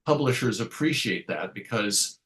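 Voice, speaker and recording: A man's voice: lowish voice, animated, moderate pace, fairly bright tone. A man telling stories as an interview guest in a reflective, wordy way, circling back to correct himself and adding wry asides. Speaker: interview guest, comics writer and radio host. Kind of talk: conversational interview storytelling.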